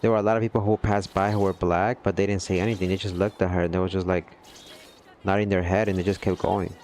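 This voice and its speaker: A man's voice: low voice, little pitch movement, fast talker, darkish tone, a little hiss or hum. A man chatting casually with his livestream viewers, earnest and rambling, often checking in with "you know what I mean". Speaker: gaming livestreamer.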